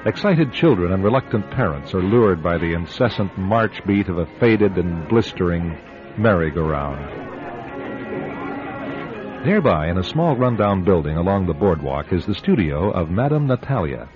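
A man acting as a solemn storyteller, giving deliberate, dramatic scripted narration for a radio drama. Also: deep voice, sing-song. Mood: solemn, atmospheric. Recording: muffled old radio recording. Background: prominent music.

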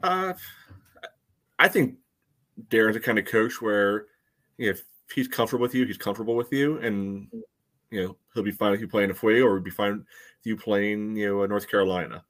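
A man co-hosting a sports podcast, giving casual soccer analysis in a measured, conversational way peppered with "you know.". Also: fairly low pitch, a bit bright.

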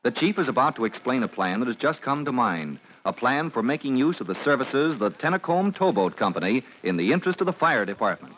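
A man's voice: mid-range voice, big pitch swings, fast, hardly any pauses. A man with an American accent announcing and narrating an old radio drama in a declamatory, emphatic style. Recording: muffled old radio recording, faint noise.

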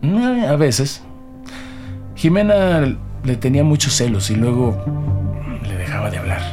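A man playing a nervous, grieving politician under questioning in an audio drama, speaking haltingly with pauses. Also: medium pitch, lively intonation, music in the background, close to an everyday voice. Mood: grieving, shaken. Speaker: actor in a detective audio drama. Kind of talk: dramatic podcast acting.

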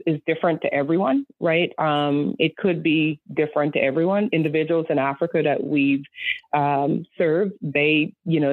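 A woman's voice: sits low, no room sound. Woman telling her story on a tinny line. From Bahamas. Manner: earnest, reflective, frequent restarts and soft laughs. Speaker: guest, nonprofit founder. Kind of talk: podcast interview storytelling. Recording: tinny phone-like line, dry.

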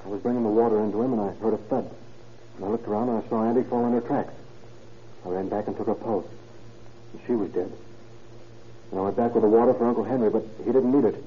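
An actor playing an anxious, talkative young murder suspect in an old radio crime drama, speaking in slangy, earnest pleas. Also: male voice, on the low side, steady tone, quick pace, long pauses, close to an everyday voice. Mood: grim recounting. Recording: muffled, noisy vintage radio recording.

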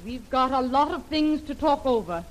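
A woman's voice: high voice, animated, normal speed. An actress playing a guarded, defiant young woman who answers curtly and stubbornly in 1930s radio serial style. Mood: cool, commanding. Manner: curt, stubborn, coolly defiant. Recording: noisy old radio drama recording.

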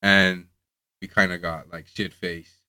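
A young man from the Bahamas, a guest on a sports show, talking in a loose, easygoing way. His voice sits low but comes out bright and forward. The recording is dry and close. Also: flat delivery, measured pace, clean tone, no room sound. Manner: loose, laid-back, lots of "like" fillers. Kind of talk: casual interview chat.

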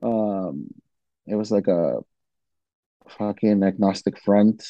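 A man's voice: fairly low pitch, slow pace, no room sound, dull audio. A man from Mexico, a metal vocalist guesting on a podcast, chatting casually and swearing freely. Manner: laid-back, swears freely, says "dude" often.

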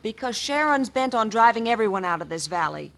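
A woman's voice: a little high, sing-song, brisk, gravelly, a bit bright, heavily acted. A woman playing a firm, plainspoken widowed rancher's daughter in a radio western, forthright and no-nonsense. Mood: bitter, resolute. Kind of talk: old-time radio western acting.

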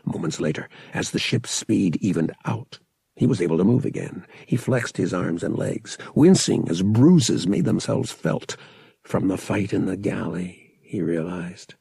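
A man with a husky, raspy voice reading an audiobook as dramatic scripted narration. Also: sits low, animated, unhurried, warm tone, no echo.

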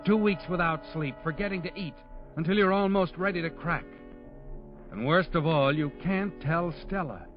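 Sinister omniscient male narrator played by an actor for vintage radio crime drama; taunting, deliberate, higher-pitched, on a muffled old recording. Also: faint music behind, lightly acted. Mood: ominous. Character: sinister all-knowing male narrator taunting a guilty man. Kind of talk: 1940s radio mystery narration in second person.

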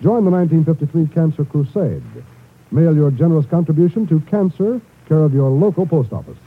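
Husky American radio announcer man, tinny sound. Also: a little high, a little fast. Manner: formal, earnest, emphatic. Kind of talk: 1950s radio announcing, scripted public appeal. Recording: tinny, muffled 1950s radio recording.

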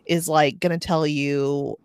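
A woman chatting casually as a podcast co-host, telling things in an animated way and acting out quotes. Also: deep voice, measured pace, smooth, no room sound, clean studio sound. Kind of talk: casual chat about a novel.

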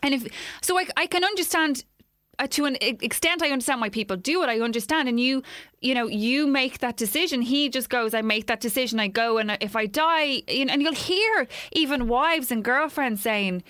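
Bright teasing woman co-host. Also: high voice, animated, a little fast, dry recording, clean studio sound. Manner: teasing, chatty. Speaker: podcast co-host. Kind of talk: casual podcast chat.

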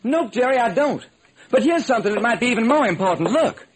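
Radio detective drama: folksy, baffled police lieutenant, high husky voice, earnest and slangy. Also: male voice, sing-song, quick pace, exaggerated voice. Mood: puzzled, folksy. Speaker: actor. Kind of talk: old-time radio detective drama acting. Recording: noisy vintage radio recording.

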